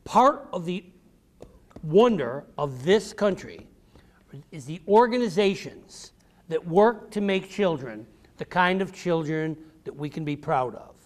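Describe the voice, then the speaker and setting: Guy with a pretty high voice doing a kids history talk q&a, repeats things for them. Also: lively intonation, unhurried. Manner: addresses children directly, repeats questions and key phrases. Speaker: author giving a library talk to children. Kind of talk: children's educational talk with question and answer. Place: public library room with a group of children.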